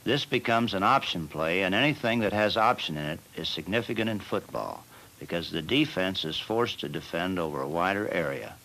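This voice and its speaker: A man narrating a football training film as the team's coach, in a measured and plain instructional manner. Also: low-pitched, expressive, creaky, bright, forceful tone, slight background noise, poor audio.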